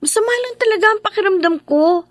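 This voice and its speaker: An actress playing a troubled, evasive young daughter and sister, hesitant and defensive, in a Tagalog radio drama. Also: female voice, extremely high pitch, expressive, quick pace, dry recording.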